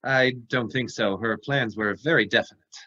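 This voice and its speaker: An actor playing a wry, brisk, commanding amateur sleuth who talks in a clipped, teasing way in a radio play. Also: male voice, medium pitch, sharp tone, dull audio. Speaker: actor in live radio theatre. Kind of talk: vintage radio play acting.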